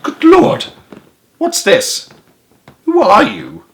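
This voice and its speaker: Man acting a bellowing, furious old duke, pitched high. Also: huge pitch swings, extremely slow, vocal fry, background noise, heavily acted. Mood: astonished outrage. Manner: bellowing, exclamatory, pompous. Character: blustering old duke, irascible, imperious, easily astonished. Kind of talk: storybook read-aloud character voice.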